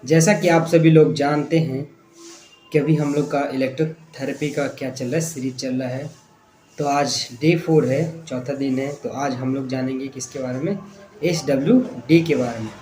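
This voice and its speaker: A man's voice: mid-range voice, steady tone, moderate pace, mellow, soft background music. A man with an Indian accent giving an informal lecture as an instructor, explaining step by step with repetition and rhetorical questions. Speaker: physiotherapy instructor and channel host. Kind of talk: informal spoken lecture to viewers. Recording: echoey room, clear background noise.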